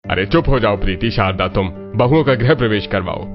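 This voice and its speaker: A dutiful older father a man acting him out in a family story. His voice is lowish, earnest and solemn about his word. Music plays behind him. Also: lively intonation, fairly quick, highs cut off, lightly acted. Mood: firm.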